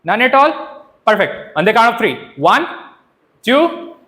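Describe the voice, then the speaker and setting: A man, a trainer giving an interactive public speaking workshop in an animated, instructive way, often asking questions and checking in. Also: very high voice, a little slow.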